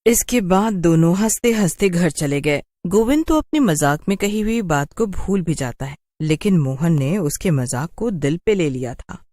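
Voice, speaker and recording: A woman's voice: fairly low pitch, animated, normal speed, few pauses, dark tone, no room sound, clean studio sound. A woman narrating a scripted cartoon story in a dramatic storytelling style.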